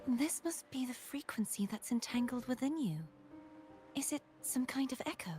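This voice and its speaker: A woman voicing a composed, enigmatic young woman in a video game, speaking formally and in measured phrases. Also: high voice, dark tone, natural voice. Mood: grave, wondering. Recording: music playing over the voice.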